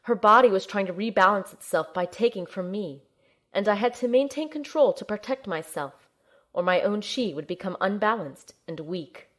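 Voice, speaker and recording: Young heroine played by a woman narrating audio drama, earnest and determined, medium pitch, measured storytelling. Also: animated, a bit bright. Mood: focused, earnest. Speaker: narrator and lead character. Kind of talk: scripted first-person audio drama narration.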